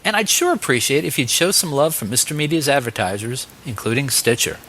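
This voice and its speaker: A man's voice: medium pitch, big pitch swings, brisk, sharp tone, rough recording. A man with an American accent, hosting an interview show and reading out announcements and sponsor plugs. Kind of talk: podcast interview and sponsor reads.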